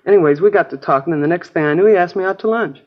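A woman's voice: on the low side, rapid-fire, lightly acted. An actress playing a chatty, naive American girlfriend in a 1950s radio crime drama, casual, rambling and a little vague. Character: chatty, naive, easygoing working-class girlfriend of a crook. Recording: dull old radio broadcast recording.

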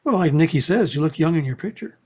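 An older man with a dark, husky voice in casual conversation. He meanders through stories and corrects himself. The audio is somewhat dull and lo-fi. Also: fairly high pitch, expressive, quick pace. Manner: meandering storytelling, self-correcting. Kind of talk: casual chat.

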